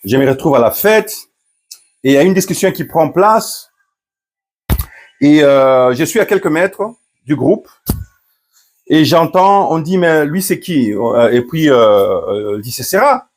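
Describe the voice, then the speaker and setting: A middle-aged man from Congo, a pastor, recounting a personal story and commenting, often pausing with fillers and restarting his phrases. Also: a little high, animated, slight background noise. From Democratic Republic of the Congo. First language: Swahili. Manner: hesitant, many fillers and restarts.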